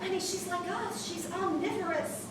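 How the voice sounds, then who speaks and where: An actress playing a high-strung, well-off mother who is prickly and defensive and snaps into screaming fury. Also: lively intonation, moderate pace. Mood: soothing. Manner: sharp and clipped, erupting into screaming. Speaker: mother on the phone to her child. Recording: roomy, distant mic with background noise.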